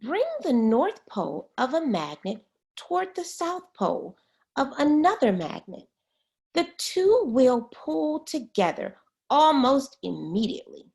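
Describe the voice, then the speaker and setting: A woman reading a children's science book aloud as a teacher, expressively and pausing to explain words. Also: sits high, big pitch swings, gravelly, darkish tone.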